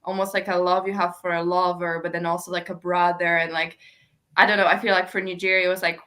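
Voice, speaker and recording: A woman's voice: fairly low pitch, flat delivery, fast talker, a bit bright. A woman chatting casually and effusively about books as a co-host, with gushing, slangy talk. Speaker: book discussion co-host. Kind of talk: casual book chat.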